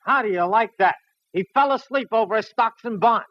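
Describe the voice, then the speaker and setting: An actor playing a brash, wisecracking cable car conductor who hollers cheekily in a vintage radio drama. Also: male voice, sits high, brisk, no echo, natural voice. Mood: brash, hollering. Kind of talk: 1940s radio comedy-drama acting. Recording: muffled, noisy vintage radio recording.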